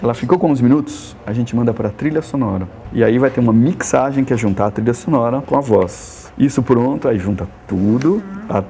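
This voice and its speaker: Brazilian man, dark relaxed voice, informal and didactic interviewee, faint noise behind him. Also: mid-range voice, a little fast, few pauses. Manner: didactic, explaining step by step. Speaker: animation producer, interviewee. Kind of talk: informal explanation of a production process.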